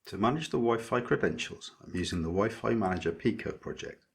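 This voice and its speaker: A man narrating a scripted electronics project tutorial as its maker, explaining methodically in a measured way. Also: on the low side, lively intonation, gravelly, husky.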